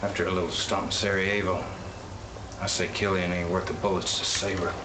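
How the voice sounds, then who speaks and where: A man's voice: sits low, flat delivery, brisk, noisy background, natural voice. An actor playing a cocky brash male soldier who talks in a casual, boastful way.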